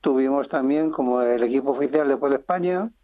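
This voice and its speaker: A man's voice: medium pitch, no room sound. Older man on a tinny phone line. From Spain (Madrid). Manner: halting, with many pauses and restarts. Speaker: interview guest, businessman. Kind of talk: radio interview. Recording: dry, phone-line sound.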